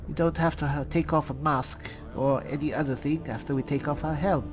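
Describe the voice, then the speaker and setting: A man playing a chatty, pompous adventuring cleric in an improvised fantasy game roleplay, halting and musing theatrically with dry humour. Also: fairly high pitch, steady tone, faint music behind, close to an everyday voice. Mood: quiet, musing. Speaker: gamer playing a character.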